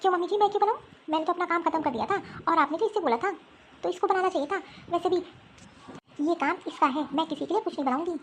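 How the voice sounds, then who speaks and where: A woman plays a quarrelsome young wife, the elder daughter-in-law, who snaps back with rude, defiant retorts. Her voice is very high for a woman. The scene comes from a homemade family skit. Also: fast, slight background noise. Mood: sulky.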